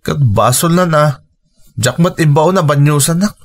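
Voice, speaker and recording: A man's voice: fairly high pitch, expressive. A man playing a stubborn, hard-drinking father in a radio drama, bitter and defensive, grumbling as he talks. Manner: slow, grumbling, groaning drunkard.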